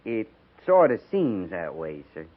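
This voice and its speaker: A man acting a gruff, by-the-book American cavalry sergeant with a blunt, clipped military manner in a 1950s radio drama. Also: deep voice, huge pitch swings, a little slow, heavily acted. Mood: subdued, blunt. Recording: tinny narrow-band 1950s radio recording.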